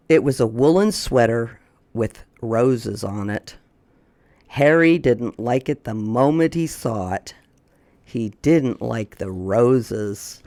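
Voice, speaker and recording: A man's voice: medium pitch, no echo, clean studio sound. A man hosting a storytime for young children, chatting and reading aloud in a folksy way. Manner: folksy, repeats questions, talks aloud to companion. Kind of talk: casual chat to young children and picture-book read-aloud.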